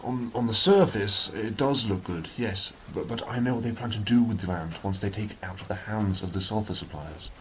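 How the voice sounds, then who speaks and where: A man's voice: fairly low pitch, fairly quick, few pauses, slight background noise, close to an everyday voice. A man playing an earnest, anxious informant in a radio drama, speaking formally and carefully with some urgency. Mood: uneasy, urgent. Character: anxious, earnest male informant from a political brotherhood.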